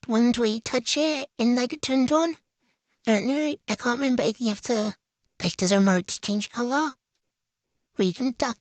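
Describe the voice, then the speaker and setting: A woman's voice: a little high, dry recording. A woman giving a casual, chatty show-and-tell voice-over in a hesitant, unscripted way that tends to trail off. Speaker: vlogger.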